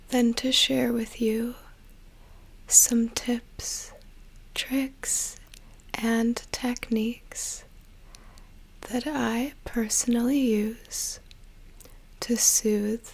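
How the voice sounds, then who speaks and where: ASMR host, young woman, unvoiced whisper, very slow and breathy, slightly high. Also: fairly even intonation, a little mellow. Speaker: ASMR content creator. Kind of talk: whispered guided meditation.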